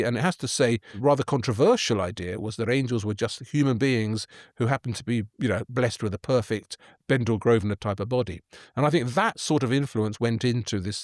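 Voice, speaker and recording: A man's voice: mid-range voice, no echo, studio quality. A man co-hosting a casual art history chat, telling stories with playful enthusiasm and asking his co-host questions. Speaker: podcast co-host.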